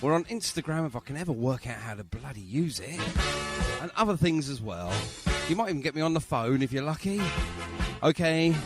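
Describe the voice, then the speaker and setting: A man's voice: on the high side, lively intonation, fairly quick, over loud music. A man presenting a radio show in a chatty, jokey style with cheeky banter.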